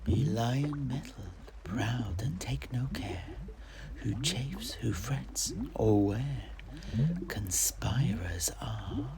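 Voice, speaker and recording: A performer voicing an eerie witch who breathes out slow, drawn-out prophecies in a whisper. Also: mid-range voice, very animated, crowd noise, exaggerated voice. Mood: ominous prophecy. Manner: drawn-out, incantatory.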